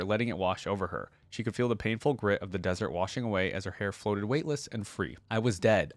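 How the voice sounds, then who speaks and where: A man with a fairly low voice, recorded dry and close. He hosts reaction content, moving between expressive story reading and slangy, enthusiastic fan commentary. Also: no room sound. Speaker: reaction video creator reading game lore aloud.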